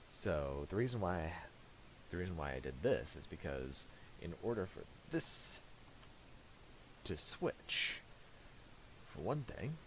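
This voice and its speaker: A man giving a casual software tutorial, speaking haltingly and restarting his phrases as he explains each step. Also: low-pitched, sing-song, slight background noise. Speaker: tutorial presenter.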